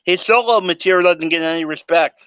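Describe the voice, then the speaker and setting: A man's voice: high voice, brisk, sharp tone, clean tone, no echo, lo-fi sound. A man co-hosting a podcast, mostly giving short reactions and agreeing in casual chat. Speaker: podcast co-host.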